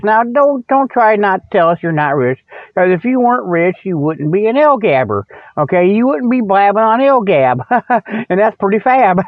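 A man's voice: sits high, no room sound. A man hosting a rambling comedic radio show, folksy and repetitive, with a habit of chuckling at his own jokes. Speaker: show host. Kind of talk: rambling comedic radio monologue with mock sponsor reads. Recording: tinny phone-like radio audio.

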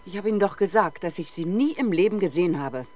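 Actress as defensive woman suspect, low animated voice. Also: fast talker, a little hiss or hum, lightly acted. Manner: defensive, insistent. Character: defensive mother-in-law stubbornly denying police accusations. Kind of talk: 1970s German radio crime drama acting.

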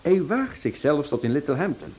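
A man's voice: a little high, big pitch swings, moderate pace, a little mellow. Theatrical male sleuth, swooping intonation. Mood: dramatic relish. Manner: emphatic, theatrical storytelling. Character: theatrical male sleuth recounting a case with relish. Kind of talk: Dutch radio play acting.

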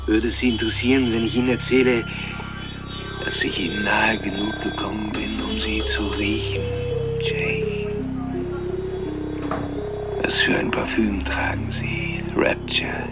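A mocking serial killer in a radio crime drama, with an animated voice that swoops widely. He is articulate and philosophical, toying theatrically with his listener on a call while dramatic music plays behind him. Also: on the low side, a little slow, long pauses. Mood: taunting, sly.

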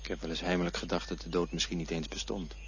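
A man's voice: low voice, little pitch movement, fast. Earnest doubting man, played by an actor, protesting, stammering, dull radio-play sound, faint background noise. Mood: pensive. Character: doubting, stubborn man facing death.

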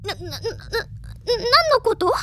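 An actress playing an excitable, bookish high school girl in an audio drama, expressive and easily flustered. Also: measured pace, a little hiss or hum, heavily acted. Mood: flustered, indignant. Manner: expressive, stammers when flustered. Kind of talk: animated Japanese audio drama acting.

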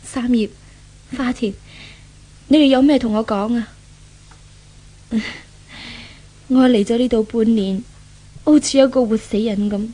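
High-voiced, sorrowful young woman. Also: measured pace, pauses often, dark tone, low-quality recording. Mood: numb, despairing. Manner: melodramatic, sorrowful. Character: guilt-ridden, world-weary young woman, despairing. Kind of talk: 1970s radio drama acting. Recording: old radio drama, music behind voice.

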